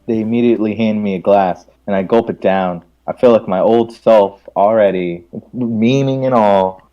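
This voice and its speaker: A man's voice: on the low side, normal speed, warm tone, muffled recording, soft background music. Playful man reading a visual novel aloud.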